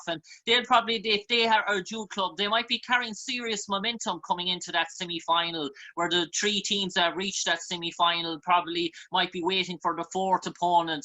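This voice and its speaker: A man's voice: high-pitched, pushed and bright. An Irish man hosting a sports panel discussion, putting long, rambling questions to his guests. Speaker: sports show host.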